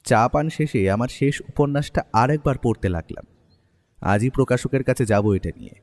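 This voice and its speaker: A man voicing the detective's companion, a writer who narrates the story in first person with lively, expressive storytelling. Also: mid-range voice, close to an everyday voice. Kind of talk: storytelling narration in an audio drama. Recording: long reverb over soft music.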